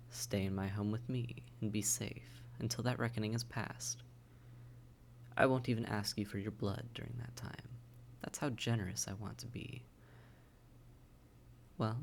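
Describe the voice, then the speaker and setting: A man's voice: lowish voice, slight background noise, natural voice. A man playing a suave, condescending vampire in an intimate audio roleplay, speaking to the listener in a polite, wry, teasing and articulate way. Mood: earnest persuasion.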